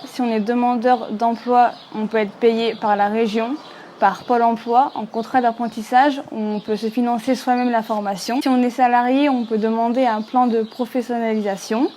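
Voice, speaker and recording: A woman in her twenties, a youth worker, explaining funding options in a brisk matter-of-fact interview answer. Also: fairly high pitch, fairly even intonation, mellow, slight background noise. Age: 20s. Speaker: social and cultural youth worker.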